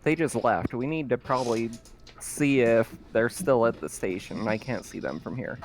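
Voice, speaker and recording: A man's voice: medium pitch, moderate pace, faint music behind. A man talking casually as a player in a tabletop roleplaying game.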